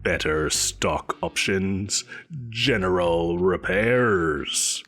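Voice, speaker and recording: An actor playing a pompous, petulant supervillain boss in an audio roleplay, blustering theatrically and defensively. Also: male voice, low-pitched, very slow, a bit bright, faint music behind, exaggerated voice. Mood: evasive, defensive. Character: pompous, petulant, evasive male supervillain employer. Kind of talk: scripted audio roleplay addressed to a listener.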